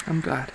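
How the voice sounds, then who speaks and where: An actor playing an earnest, impassioned and self-doubting young composer in a period audio drama, with stammering, effusive, formal old-fashioned speech. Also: male voice, slight background noise, low-quality recording. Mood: gentle, bashful.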